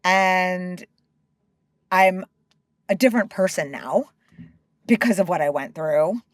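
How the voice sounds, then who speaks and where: A woman's voice: fairly low pitch, lively intonation, no echo, studio quality. A middle-aged woman, a company co-founder, speaking as an interview guest. She talks openly and with feeling, and she often stops and restarts her sentences. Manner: open and emotional, with halting restarts. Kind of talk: candid interview talk.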